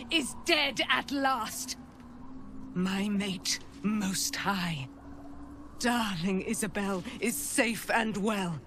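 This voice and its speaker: A woman's voice: fairly high pitch, noisy background. A woman playing a proud, devout holy warrior in a video game, formal and declamatory, as if proclaiming.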